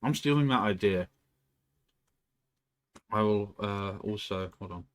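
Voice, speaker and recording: A man with a slightly low voice chats on a live stream from home. He rambles loosely between thoughts, clears his throat often, and swears casually. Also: measured pace. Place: indoors at home.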